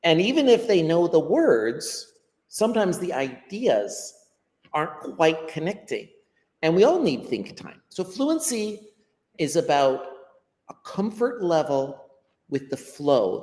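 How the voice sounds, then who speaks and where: A man's voice: on the high side, sing-song, a little slow, darkish tone. A man with a Western American accent, a guest teacher explaining his ideas unscripted over a video call, thoughtful and often repeating words as he gathers his thoughts. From United States. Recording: long hall-like reverb.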